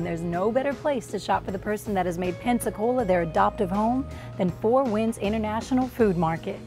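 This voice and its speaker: Young female news host and voice-over, mid-pitched, scripted and presentational. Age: young adult. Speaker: TV reporter and host. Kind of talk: polished scripted broadcast narration.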